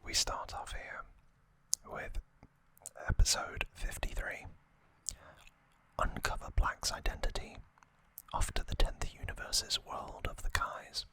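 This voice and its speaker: An ASMR host whispering a recap in a breathy, unvoiced voice, hushed and pausing between short phrases. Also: low-quality recording.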